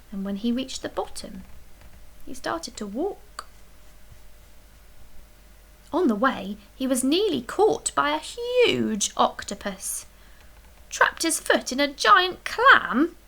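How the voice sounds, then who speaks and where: A woman reading a children's picture book aloud as a storyteller, expressively, with dramatic emphasis and hushed, breathy dips. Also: on the high side. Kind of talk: expressive read-aloud bedtime story narration.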